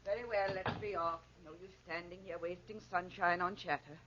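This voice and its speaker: A bossy, no-nonsense farm wife in 1940s radio style. The voice is lowish and husky, captured on a dull vintage recording. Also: female voice, steady tone, fairly quick, fairly bright tone. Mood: brisk. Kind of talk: 1940s radio drama acting.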